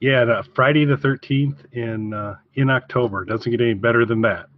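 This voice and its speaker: A woman's voice: very deep voice, normal speed, no room sound. Woman co-host, dry, slightly muffled sound. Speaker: podcast co-host. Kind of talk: casual chat.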